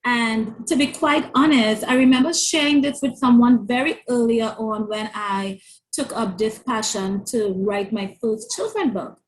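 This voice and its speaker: A woman's voice: a little high, a little slow, rough recording. A woman with a stutter and a lisp, earnestly telling her story as an interview guest, often repeating words as she restarts. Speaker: author and parent coach.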